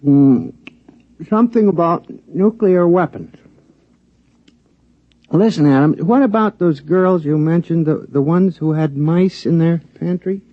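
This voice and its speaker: Man acting cocky high-pitched party mouse, old radio. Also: measured pace, dry recording, close to an everyday voice. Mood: merry, carefree. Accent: American. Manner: brash, slangy, wisecracking. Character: cocky, pleasure-loving talking male mouse, fond of wine and girls. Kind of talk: 1950s radio drama acting. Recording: muffled old radio broadcast recording.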